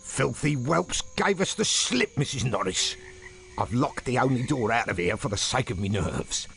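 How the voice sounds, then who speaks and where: An actor playing a spiteful, creepy old English caretaker in a video game, with a gravelly voice, muttering and shrieking. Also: male voice, medium pitch, lively intonation, normal speed. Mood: gloating fury. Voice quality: gravelly, creaky. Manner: muttering to his cat, breaking into shrieks. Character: spiteful, creepy old caretaker hunting intruders, doting on his cat. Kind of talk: video game villain acting. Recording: loud music behind the voice.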